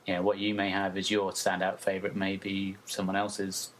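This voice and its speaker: A man's voice: low-pitched, monotone, normal speed, a little hiss or hum. A man from the UK hosting a podcast interview, easygoing and encouraging. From United Kingdom. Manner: easygoing, encouraging, laughs along. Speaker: podcast host.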